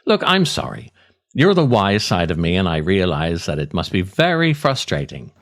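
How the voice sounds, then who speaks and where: A man's voice: deep voice, sing-song, normal speed, fairly bright tone, pitched below the performer's own voice. A man voicing his own stubborn, cynical, self-indulgent side in comic dialogue, dry, blunt and argumentative. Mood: apologetic. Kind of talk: comic scripted dialogue with himself.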